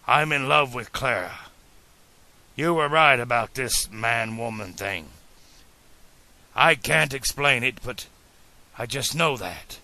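Man acting an eccentric, forceful older inventor. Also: medium pitch, slow, pauses often, full character voice. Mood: lovestruck, firm. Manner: declamatory, formal, emphatic. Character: eccentric, stubborn older male inventor, passionate and grandly earnest. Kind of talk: audiobook character dialogue.

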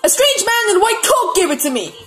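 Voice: very high-pitched, very animated, fast talker, fairly bright tone, full character voice. An actor voicing a bratty, gullible young monster prince in a video game cutscene, with childish, indignant outbursts. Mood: boastful. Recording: laptop speaker re-recorded, music behind.

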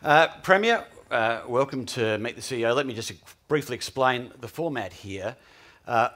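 A man's voice: medium pitch, a bit bright. A middle-aged man hosts an interview on stage in a large hall, wearing a headset mic. He asks questions with dry humour, often restarting his sentences mid-thought. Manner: wry, joking, with hesitant restarts. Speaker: host interviewer.

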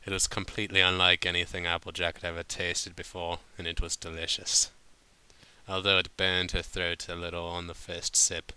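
Man, deep clear voice, very bright, informal story narration, occasional stumbles. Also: monotone, moderate pace. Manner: stumbles over words, breaks off to comment. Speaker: fan fiction reader.

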